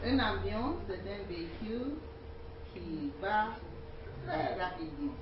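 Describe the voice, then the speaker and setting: A student whose first language is Yoruba, speaking slowly and deliberately in a classroom, pausing between words. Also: medium pitch, sing-song, highs cut off. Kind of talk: classroom question and discussion. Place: university lecture room. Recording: roomy, distant, noisy classroom audio.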